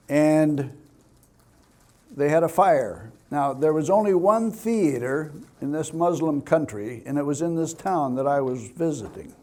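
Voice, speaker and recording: An older man giving a security talk as an expert speaker, in deliberate, measured phrases with pauses. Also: fairly high pitch, a little mellow. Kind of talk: public training talk with personal anecdotes.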